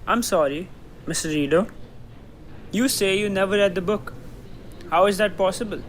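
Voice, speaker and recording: Young man as a high-voiced, skeptical courtroom lawyer. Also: moderate pace, a bit bright, slight background noise. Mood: incredulous, pressing. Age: teenager. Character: forceful, skeptical male cross-examining lawyer. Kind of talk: stage-style radio drama acting.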